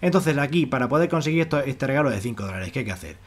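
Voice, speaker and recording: A man's voice: mid-range voice, expressive, racing. Spanish man explaining websites, wordy and repetitive. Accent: Spain. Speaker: YouTube presenter of money-making websites. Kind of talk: casual screen-share walkthrough narration. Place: voice-over on a screen recording.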